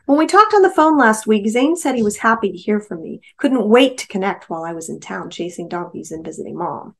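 A woman's voice: mid-range voice, expressive, a little fast, creaky. A woman voicing a hopeful, wistful female best friend in a novel reading, eager and dreamy.

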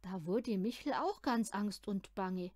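Female storyteller for children's audiobook, very animated, measured pace, dry close sound. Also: mid-range voice, dry recording. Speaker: audiobook reader of children's stories. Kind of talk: expressive storytelling narration for children.

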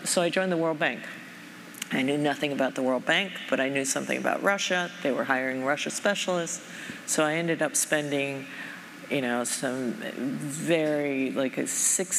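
A woman's voice: rumbling low, a bit bright. A middle-aged woman from Japan, head of a foundation, talking thoughtfully onstage with many fillers. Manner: thoughtful, measured, frequent fillers. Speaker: foundation president. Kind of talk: onstage conversation. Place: large conference stage. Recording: reverberant hall, faint noise.

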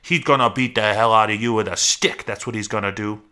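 A man acts out a huge, spiteful storybook rabbit with a bright, hard-edged voice. It jeers and browbeats in rustic speech, mean and taunting. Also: on the low side, fairly even intonation, moderate pace. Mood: jeering, aggressive. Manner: jeering, browbeating rustic talk.

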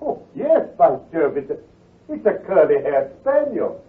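An actor playing a brisk, confident, teasing gentleman detective with a British accent, precise and patronizing, in an old-time radio drama. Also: fairly high pitch, big pitch swings, slightly rough, exaggerated voice. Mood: keen, assured. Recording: muffled, lo-fi vintage radio recording.

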